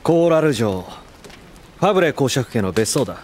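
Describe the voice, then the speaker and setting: A man's voice: mid-range voice, big pitch swings, moderate pace, a little mellow, slight background noise, lightly acted. An actor playing an easygoing, loyal young man with casual, frank speech in an anime audio drama. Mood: friendly.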